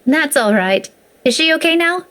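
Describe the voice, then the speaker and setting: A woman voicing a concerned, guarded young woman in a visual novel, speaking in a measured, conversational way. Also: high voice, animated, a bit bright.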